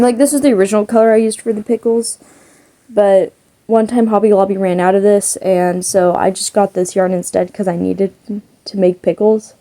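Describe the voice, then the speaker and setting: A woman's voice: mid-range voice, creaky, dark tone. A young woman chatting casually as a crochet vlogger, rambling with lots of fillers. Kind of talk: casual chat.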